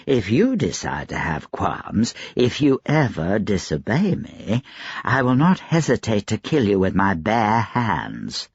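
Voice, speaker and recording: A man voicing a cold, educated gentleman lord in an audiobook, quiet and steady, calmly threatening. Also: fairly low pitch, slightly rough, no room sound, lo-fi sound, exaggerated voice. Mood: cold menace. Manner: calm, collected, deliberate threats. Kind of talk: audiobook character dialogue.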